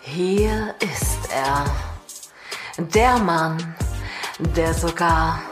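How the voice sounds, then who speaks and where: A woman announcing a podcast intro, scripted and dramatic, with a grandly teasing build-up. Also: lowish voice. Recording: roomy echo, music behind.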